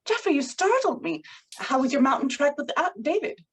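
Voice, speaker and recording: A woman's voice: sits high, big pitch swings, fairly quick. A woman playing a poised, controlling writer's wife in a period drama table read, with formal, persuasive phrasing. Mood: startled, defensive.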